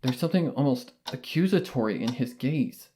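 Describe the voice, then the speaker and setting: A man narrating a first-person horror fanfiction in a measured, brooding way. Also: medium pitch, animated, dark tone, slight background noise.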